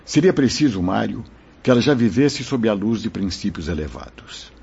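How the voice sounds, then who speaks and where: Man doing stern fatherly spirit teacher, radio soap, lowish husky voice, lots of rise and fall. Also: expressive, normal speed, darkish tone, exaggerated voice. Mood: admonishing. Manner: solemn, admonishing, formal. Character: grave, paternal spirit mentor, firm but charitable. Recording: dull lo-fi radio drama recording.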